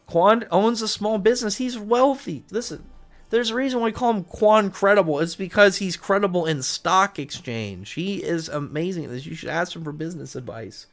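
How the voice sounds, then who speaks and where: A man's voice: high-pitched, normal speed, faint music behind. A man hosting a livestream, chatting casually with viewers in a rambling, repetitive way full of asides. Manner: rambling, jumps between asides, repeats himself. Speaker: livestream host. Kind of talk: casual chat with viewers, reading comments.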